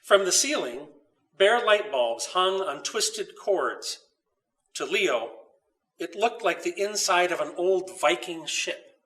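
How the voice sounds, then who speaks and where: A man reading his own children's story aloud with expressive, dramatic narration. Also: high voice, slow pace, far from the mic, poor audio.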